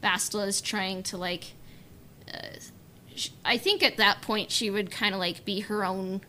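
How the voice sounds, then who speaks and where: A woman's voice: mid-range voice, fairly even intonation, unhurried, sharp tone, slight background noise. A woman chatting casually on a podcast panel in a hesitant, filler-heavy way, saying "like" often. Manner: hesitant, peppers talk with "like". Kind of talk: casual chat.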